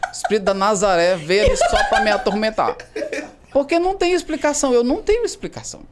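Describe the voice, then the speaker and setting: A man's voice: high-pitched, quick pace, heavy background noise. A man on a podcast team, chatting casually and joking about his own mistakes. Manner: self-mocking, playful. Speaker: podcast team member, editor.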